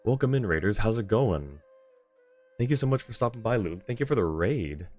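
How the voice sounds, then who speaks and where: A man's voice: fairly low pitch, lively intonation, a little fast, darkish tone, soft background music. A man hosting a livestream, chatting casually and informally with his viewers in a friendly, welcoming manner. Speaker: livestream host. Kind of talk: casual gaming stream chat.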